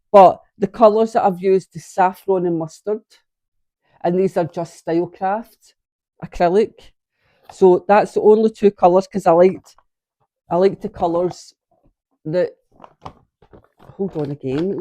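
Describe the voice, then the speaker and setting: A woman's voice: fairly low pitch, mellow, dry recording. Chatty woman with a stuffy nose. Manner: chatty, rambling, self-interrupting. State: congested from sinus trouble. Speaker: knitting vlogger. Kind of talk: casual chat to camera. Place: at home. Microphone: camera on a tripod.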